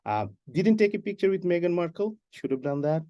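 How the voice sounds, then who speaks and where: A man from Bangladesh, guest on an interview, telling his career story in an animated, joking way. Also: high voice, a little fast, lo-fi sound. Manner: animated, jokey storytelling with laughs. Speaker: guest, animation production supervisor and writer-director. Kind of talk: casual interview talk.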